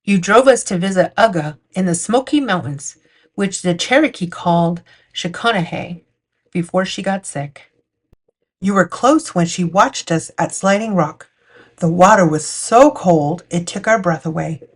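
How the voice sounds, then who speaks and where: A woman's voice: on the low side, unhurried, slightly rough. A woman narrating a picture book for children as a storytime host. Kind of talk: storytime read-aloud narration.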